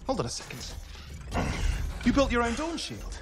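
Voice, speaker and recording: An actor playing a flamboyant, smug young turncoat in a video game, sardonic, chatty and given to theatrical self-pity. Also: male voice, very high voice, wildly swooping pitch, normal speed, background noise, heavily acted. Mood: mocking.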